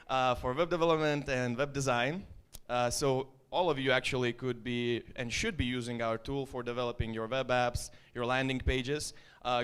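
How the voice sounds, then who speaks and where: A man's voice: mid-range voice, fairly bright tone, clear, resonant tone. A man with an Estonian accent giving a loose, joking startup talk to a live audience, full of ums and uhs. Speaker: startup founder presenting. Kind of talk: conference stage talk.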